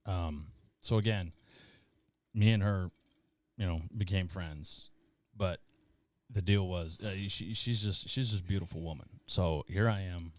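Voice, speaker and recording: A man's voice: deep voice, unhurried, darkish tone, no echo. A man tells stories in casual chat, slangy and enthusiastic, calling people dude and bro. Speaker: podcast guest.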